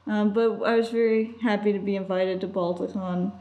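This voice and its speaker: A woman's voice: medium pitch, fairly even intonation, normal speed, mellow, muffled recording. A woman co-hosting a podcast, chatting casually and informally. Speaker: podcast co-host. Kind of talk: casual conversational podcast chat.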